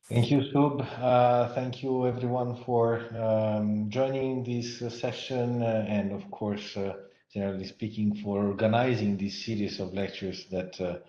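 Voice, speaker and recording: Middle-aged Italian-accented lecturer, low-pitched and halting, heard over echoey, dull remote-call audio. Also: male voice, steady tone, dark tone. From Italy. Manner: halting, frequent fillers and restarts. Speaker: guest lecturer, professor. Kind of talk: online academic lecture.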